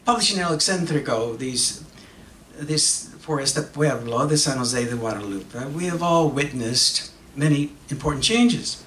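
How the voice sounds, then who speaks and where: A middle-aged man giving an expressive, emphatic dramatic reading with deliberate pauses, moving between two languages, at a microphone on a stage. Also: on the high side. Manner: switches between English and Spanish. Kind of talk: theatrical dramatic monologue read from a script. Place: theater stage at a podium. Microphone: microphone on a stand. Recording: echoey hall from far off, faint noise.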